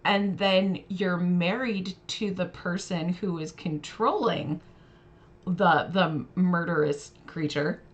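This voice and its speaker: Woman, slightly low voice, casual livestream commentary, opinionated, reflective. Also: slow, muffled recording. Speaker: livestream host reading and discussing fairy tales. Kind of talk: casual spoken commentary to a live audience.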